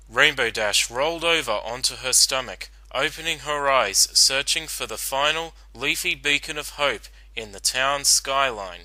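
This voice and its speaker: A man's voice: medium pitch, bright, forceful tone, dry recording, low-quality recording. A man narrating a written story as a dramatic reading.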